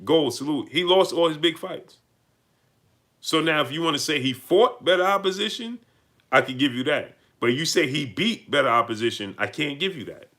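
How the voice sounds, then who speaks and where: A man with a New York accent hosts a live stream, greeting his viewers and answering their messages. His voice is bright and forceful, and he hammers his points by repeating them. He climbs to loud, heated peaks. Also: a little high, expressive, moderate pace. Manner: emphatic, repeats lines, rises to heated peaks. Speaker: livestream host. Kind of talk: live-stream chat, reading super chats.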